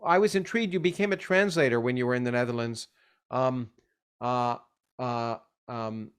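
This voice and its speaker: A man in his sixties hosting a podcast interview, talking in halting, stop-start phrases. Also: medium pitch, clean tone. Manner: halting, many restarts and fillers. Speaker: podcast host. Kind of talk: interview conversation.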